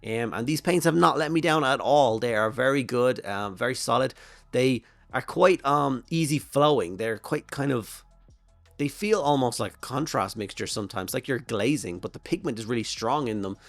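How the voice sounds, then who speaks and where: A hoarse Irish man with a flu-worn voice talks casually through a miniature painting tutorial, rambling and thinking aloud with plenty of fillers. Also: mid-range voice, normal speed, no room sound, faint music behind. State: hoarse from a flu and throat infection. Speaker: miniature painting channel host.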